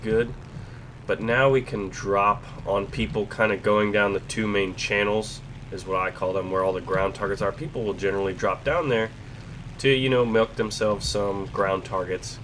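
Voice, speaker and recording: A young man with a stuffed-up cold, hosting casual gameplay commentary, chatty and self-deprecating, clearing his throat often. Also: lowish voice, fairly bright tone, a little hiss or hum. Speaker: gaming channel host.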